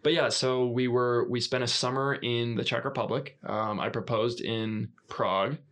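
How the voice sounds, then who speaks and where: A young man co-hosting a casual podcast, easygoing and chatty, often pausing with ums and restarting his sentences. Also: mid-range voice, steady tone, a bit bright. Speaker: podcast co-host, elopement photographer. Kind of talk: casual chat.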